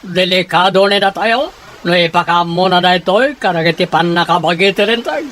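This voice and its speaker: A man's voice: high-pitched, normal speed, a bit bright. A man playing an irascible, domineering rich boss in a radio drama, blustering and impatient. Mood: irate, scornful.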